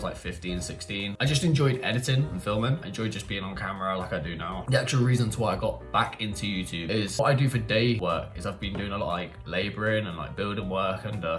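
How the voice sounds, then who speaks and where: A young man with a low-pitched voice and a London-leaning English accent chats casually to camera. He rambles in a candid, informal way, like a YouTuber answering personal questions. Guitar music plays behind him. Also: fairly bright tone. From Marbella, Spain. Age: young adult. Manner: informal, candid, rambling with frequent "like" and "you know".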